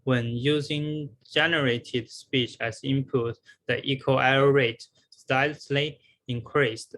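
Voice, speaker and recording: A man giving a scripted academic research presentation in a halting way, with repeated words and pauses. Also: medium pitch, fairly even intonation, fairly bright tone.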